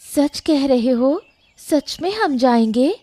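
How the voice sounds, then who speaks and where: A woman playing a gentle, dutiful young wife in an acted story, speaking softly and deferentially to her husband. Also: high voice, expressive, takes it slowly, no echo. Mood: pleased, dutiful. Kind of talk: acted Hindi audio story dialogue.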